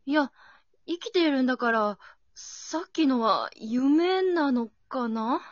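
A woman playing an anxious, bewildered high school girl in an amateur radio drama, thinking aloud in exclamations. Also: expressive, slow, no room sound, muffled recording.

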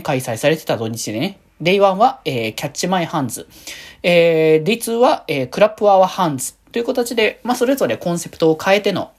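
A woman hosting a solo podcast in a casual, rambling way, as if thinking aloud. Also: fairly low pitch. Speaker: host of a solo fan podcast.

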